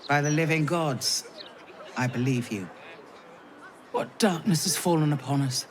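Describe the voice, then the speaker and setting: A woman playing a stern, loyal noblewoman counsellor in a fantasy video game, speaking in a solemn, formal, measured way. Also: deep voice, a faint crowd, slight background noise. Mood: shaken. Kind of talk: dramatic video game cutscene acting. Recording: music behind the voice.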